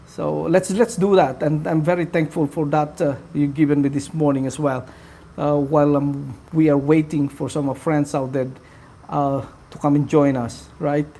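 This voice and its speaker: A man hosting a casual live stream, talking to his viewers in a halting way with many fillers. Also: fairly high pitch, level tone, dark tone. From Philippines. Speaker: livestream host.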